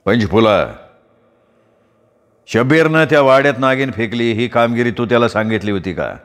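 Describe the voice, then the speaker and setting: Stern questioner voiced by a man, low-middle voice, curt and direct. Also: moderate pace, big-room sound, close to an everyday voice.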